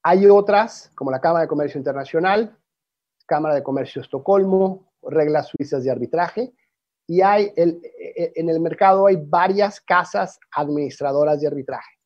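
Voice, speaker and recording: A man's voice: on the high side, normal speed, no echo, lo-fi sound. Man w/ portuguese accent explaining stuff on a video call, restarts a lot, dry dead sound. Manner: explanatory, with frequent restarts and fillers. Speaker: arbitration lecturer. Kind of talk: webinar presentation.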